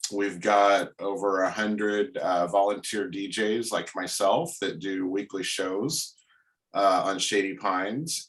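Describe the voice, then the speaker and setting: A man's voice: on the low side, fairly bright tone. A man hosting a radio interview, talking casually and rambling with many ums and uhs. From Oregon, USA. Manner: rambling, heavy use of uh and um.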